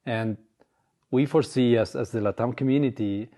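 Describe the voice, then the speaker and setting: Mellow-voiced man asking a hesitant question. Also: medium pitch, moderate pace. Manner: searching, hesitant, rephrases. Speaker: interviewer. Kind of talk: interview question.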